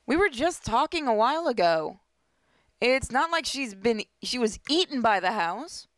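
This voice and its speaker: A woman's voice: fairly high pitch, very animated, moderate pace, dry recording, studio quality. A woman hosting a gaming video, reading visual novel text aloud expressively with casual commentary.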